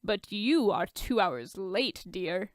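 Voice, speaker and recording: A playful billionaire party boy, acted out by a woman reading a story, full of sarcasm and teasing. The voice is clean and clear, recorded dry and close. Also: mid-range voice, lively intonation, slow, no room sound. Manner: sarcastic, goading. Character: playful, teasing, hard-partying billionaire man, smirking wisecracker.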